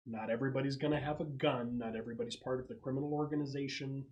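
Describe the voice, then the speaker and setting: A man's voice: mid-range voice, fairly even intonation, very fast, darkish tone, highs cut off. A man explaining and narrating casually as game master of a tabletop roleplaying session. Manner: explanatory, conversational.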